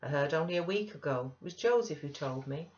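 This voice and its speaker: A woman's voice: deep voice, lively intonation, a little fast, a little mellow, dull audio. A woman voicing a frank, cautioning female servant confidante in an audiobook reading. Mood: cautioning, earnest.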